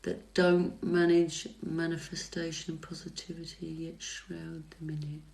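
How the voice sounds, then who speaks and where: Slow, gravelly-voiced woman reader. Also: deep voice. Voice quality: soft calming voice. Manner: hesitant, many fillers and restarts. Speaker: psychic tarot reader. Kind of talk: casual livestream chat answering viewer comments. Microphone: phone livestream mic.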